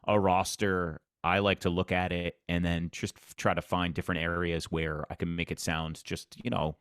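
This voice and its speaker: Guest spot: deep-voiced man, arena announcer, earnest plain talk, very low, dry close sound. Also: flat delivery, normal speed, fairly bright tone, clean tone, no room sound. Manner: earnest, plainspoken, repeats himself. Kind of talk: casual interview chat.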